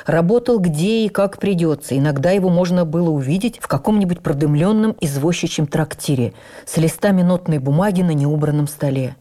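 An actress playing a stage actress who recalls her youth with admiring wonder, in measured, literary, expressive radio narration. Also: fairly low pitch. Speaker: actress reading a memoir on radio. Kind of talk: dramatic first-person memoir narration for radio.

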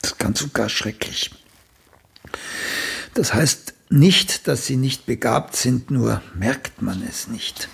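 A male actor in a German radio play, playing an older celebrated playwright who is a condescending, bluntly critical mentor with a gravelly, husky voice. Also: medium pitch, slow pace, full character voice. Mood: scathing, condescending.

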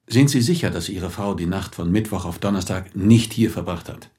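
An actor playing a sceptical police inspector in a radio play, questioning drily and insistently. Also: male voice, low voice, normal speed, dark tone, lightly acted. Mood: probing. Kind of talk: naturalistic German radio play acting.

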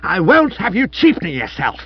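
An indignant, domineering middle-aged wife from a comic storybook. She is screechy and emphatic, pitched high with wild swings. The recording is slightly dull. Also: big pitch swings, normal speed, creaky, slightly rough, dry recording, heavily acted. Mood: scolding, furious. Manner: emphatic, scolding.

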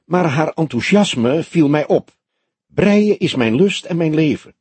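Man reading as sulky, husky younger detective. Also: fairly high pitch, animated, measured pace, darkish tone, no room sound, pitched above the performer's own voice. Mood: unsure. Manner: peevish, questioning. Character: younger male detective sidekick, sulky, unsure, earnest.

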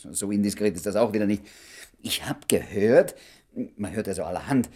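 A man's voice: lowish voice, moderate pace, warm tone, close to an everyday voice. An actor in a German radio play playing an awkward, flustered male doctor who hesitates and fumbles for words. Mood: evasive, curious. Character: flustered, awkward doctor, nosy but bashful friend.

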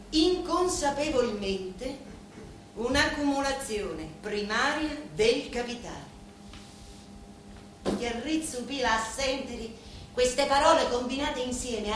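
An actress playing an intense, solemn woman in a radio drama, declaiming deliberately and emphatically. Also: fairly high pitch, pushed and bright, heavily acted. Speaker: stage actress. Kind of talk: theatrical monologue in a radio drama. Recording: very echoey room with faint noise.

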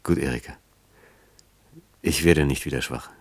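Radio play casting: torn, reassuring man in love, bass-deep rough-edged voice, few words. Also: measured pace, no room sound. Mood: resolved, weary. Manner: terse, low-key, reassuring. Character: guarded male lover, torn but soothing. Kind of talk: German radio play acting.

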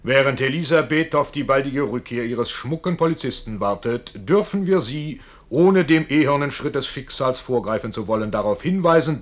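A man's voice: medium pitch, lightly acted. A man playing a showman-like radio drama narrator who presents scenes with florid, ironic ceremony. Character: showman-like narrator presenting tableaux with grand flourish.

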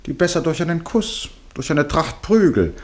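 A man's voice: fairly high pitch, sing-song, normal speed. An older man acting in a German radio play as an eccentric, genial club chairman and former judge, speaking formally and grandly. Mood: proud oratory. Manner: grandiloquent, precise, self-amused.

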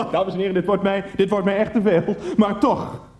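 A man's voice: high-pitched, fairly even intonation, moderate pace, darkish tone, echoey room, natural voice. An actor playing a pushy, gushing TV game show host in a radio play parody, theatrical and repeating himself as he goads. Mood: excited, teasing.

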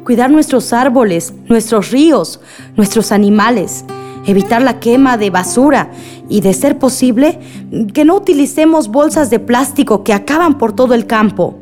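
An actress playing an earnest, grieving village woman who makes measured appeals for peace in a radio drama. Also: on the high side, animated, a little mellow, close to an everyday voice. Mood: hopeful, urging. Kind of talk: radio drama acting, assembly speech. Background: music.

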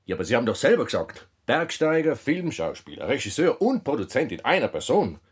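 An actor playing a boastful mountain film star and storyteller in a radio play. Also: male voice, mid-range voice, very animated, brisk, breathy edge. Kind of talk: German radio play acting.

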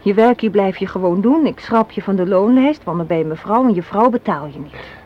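A woman's voice: medium pitch, fairly quick, natural voice. An actress in a radio play playing an assertive, cynical young woman, arguing in an articulate, sardonic way. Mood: indignant, sarcastic. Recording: muffled, hissy old radio play recording.

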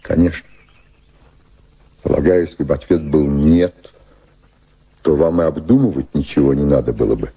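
An actor playing a dignified, ardent British colonial official in his fifties in a Russian radio drama, courtly and deliberate, with a husky voice. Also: a bass voice, dark tone, full character voice. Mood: earnest, pressing.

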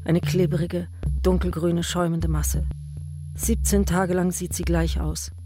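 An actress playing a grave woman in a radio drama, narrating her care of a dying man in a measured, stark, literary way. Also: fairly low pitch, level tone, lightly acted. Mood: grave, intense. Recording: music behind the voice.

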